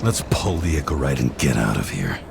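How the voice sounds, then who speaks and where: An actor playing a wry, stubborn, world-weary police detective in a video game, with a dry, laconic delivery. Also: male voice, a bass voice, big pitch swings, fairly quick, vocal fry, heavily acted. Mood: grim, blunt. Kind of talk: video game dialogue acting. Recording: loud vehicle and ambience noise.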